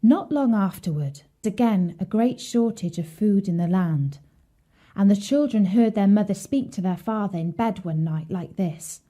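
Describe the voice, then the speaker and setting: A woman storyteller with a soft-edged, mellow tone and a medium pitch. She reads scripted bedtime narration for children. Her delivery is measured and expressive.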